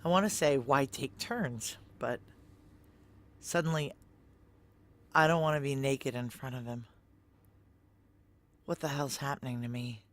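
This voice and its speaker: A woman's voice: rumbling low, measured pace, pauses often. An actress playing a wry, guarded, jealous woman on the run, in dry, clipped noir audio drama dialogue and inner monologue. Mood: wry, introspective.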